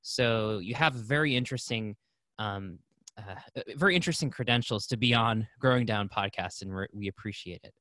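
A male podcast host with a lowish but bright voice, recorded dry and close. He speaks casually and haltingly, filling pauses as he introduces his guest. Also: dry recording. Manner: casual, halting, many fillers. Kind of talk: casual interview talk.

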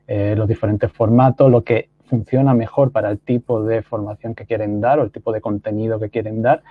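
A man speaking as the guest in a casual interview over a video call, explaining slowly with many "eh" pauses. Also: lowish voice, no echo. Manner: hesitant, many "eh" fillers and restarts, explanatory. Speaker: guest, online course copywriter. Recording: dry tinny call audio.

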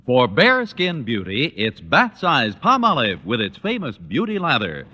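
A man's voice: mid-range voice, huge pitch swings, measured pace, bright, forceful tone, breathy edge, dull audio. A man announcing in 1940s radio commercial style, with an emphatic, polished sales delivery. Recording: echoey old radio recording.

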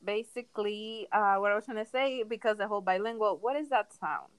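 A woman's voice: medium pitch, fairly quick, clean tone, dry recording. A young woman from Venezuela, a native Spanish speaker with a Venezuelan accent, co-hosting a podcast and teasingly steering the casual chat. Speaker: podcast co-host.

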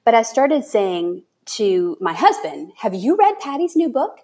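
A woman's voice: fairly high pitch, big pitch swings, unhurried, a little mellow. A woman hosting a podcast in a casual, effusive way, feeling around for the right words and correcting herself as she goes. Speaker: podcast host. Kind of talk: casual interview chat.

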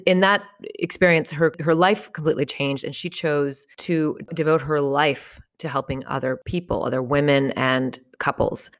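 A young woman hosting an interview podcast, enthusiastic and swearing casually as she introduces her guest. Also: low-pitched. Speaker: podcast host.

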